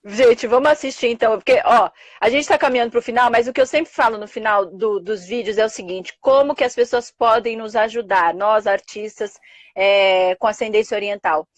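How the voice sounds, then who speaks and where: A woman's voice: a little high, moderate pace, no echo. Effusive Brazilian woman host, dry sound. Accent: Brazilian Portuguese. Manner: warm, effusive thanks. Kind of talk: live interview chat.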